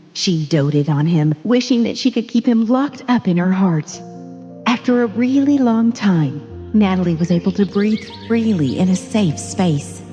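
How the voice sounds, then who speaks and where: A woman with a mid-pitched voice delivers dramatic scripted narration. Her voice carries a long reverb, with music clearly underneath. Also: warm tone, dull audio.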